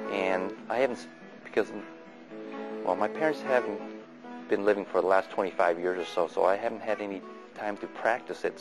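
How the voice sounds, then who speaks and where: A man in his fifties from California, whose first language is Chinese, recalling his childhood in a measured, plain, reflective way. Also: low voice, gravelly, low-quality recording. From Sunnyvale, California. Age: 50s. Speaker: oral history narrator. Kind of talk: reading a prepared memoir, then unscripted recollection.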